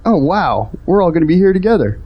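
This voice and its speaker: An actor playing a humble, thankful young grown son in an audio drama, earnest and polite. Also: male voice, high voice, very animated, fast, husky, dull audio, heavily acted. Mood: pleasantly surprised.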